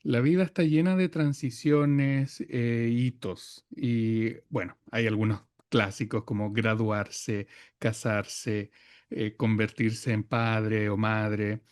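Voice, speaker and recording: A man from Chile, a podcast guest who speaks reflectively, pausing often with fillers as he gathers his thoughts. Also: on the low side, steady tone, no room sound, clean studio sound. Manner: thoughtful, many hesitations and fillers. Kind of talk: reflective conversation.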